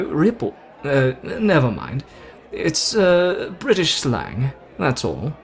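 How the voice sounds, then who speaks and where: A man voicing a brash, playful young British show-off who is boastful, teasing and theatrical in an ASMR roleplay. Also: fairly high pitch. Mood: indignant, scheming. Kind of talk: ASMR roleplay addressed to the listener.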